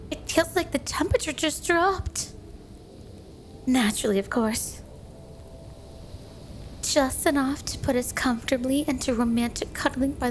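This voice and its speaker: Casting: female performer as clingy yandere snow woman for ASMR roleplay; higher voice, coy, teasing, doting, music underneath. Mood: playful, coy. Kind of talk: ASMR roleplay spoken to the listener.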